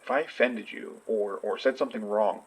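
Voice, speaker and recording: An actor playing an earnest, insecure friend in a naturalistic audio drama, talking in a tentative, halting, slightly husky voice. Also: mid-range voice, steady tone, natural voice, poor audio. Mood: hurt, uncertain. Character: earnest, insecure friend shyly hoping for a date.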